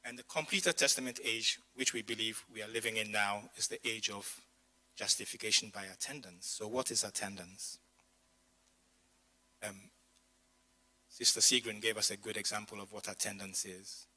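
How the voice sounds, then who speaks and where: A man preaching in a community hall, talking to his congregation in a relaxed, conversational way. His voice sits a little low but sounds bright and forward, with a slight strain from having lost it. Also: moderate pace. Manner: conversational, chatty, with asides to the congregation. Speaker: pastor. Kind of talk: sermon with personal stories.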